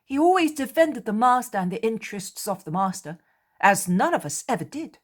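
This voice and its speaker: A man's voice: high voice, sing-song, a little fast, a bit bright, pitched above the performer's own voice, heavily acted. A woman voicing Thomas, an insistent adult male disciple who speaks in a raised voice, defending his word.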